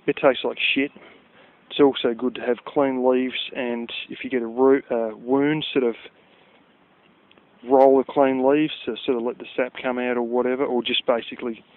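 A man with an Australian accent, casually rambling as he walks outdoors by a river, blunt and chatty with offhand swearing. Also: medium pitch. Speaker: vlogger. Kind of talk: casual walking commentary. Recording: tinny phone-like outdoor recording.

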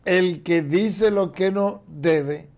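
Emphatic man, fairly high voice. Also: very slow, darkish tone. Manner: emphatic, gestures constantly while explaining. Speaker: economist commentator. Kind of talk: political commentary on a TV news show. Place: TV studio, seated in chair.

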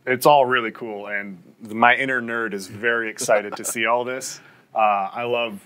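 Bright-voiced man hosting a casual interview. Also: mid-range voice, steady tone, normal speed. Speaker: host interviewing on a factory tour. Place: indoors, sound-testing room and factory floor.

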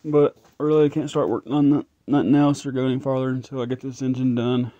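A man's voice: medium pitch, fairly even intonation, quick pace, dry recording, muffled recording. A man thinking aloud as he tests an engine at his garage workbench, casual and a bit frustrated. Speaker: home mechanic vlogger. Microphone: phone camera held close.

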